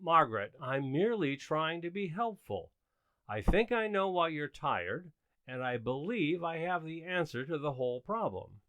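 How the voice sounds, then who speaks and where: Man playing an earnest, animated sitcom dad. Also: a little high, moderate pace, no echo. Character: earnest, fussy suburban husband and father. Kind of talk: old-time radio sitcom acting.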